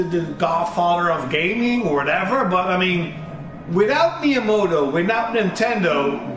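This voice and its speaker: A young Dutch man talks in a strong Dutch accent, his voice sitting high and his delivery slow and unhurried. He rambles and restarts, dropping fillers and the odd curse. The room is echoey, with music playing clearly beneath him. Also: a bit bright. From Netherlands. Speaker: gaming channel host. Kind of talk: casual personal monologue. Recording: roomy echo with music underneath.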